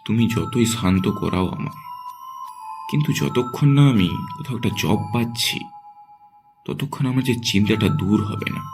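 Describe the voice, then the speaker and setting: A man's voice: lowish voice, normal speed, darkish tone, soft background music, natural voice. A man voicing a worried, irritable young husband who is out of work, in a horror cartoon. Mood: worried, dejected. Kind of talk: Bengali horror cartoon voice acting.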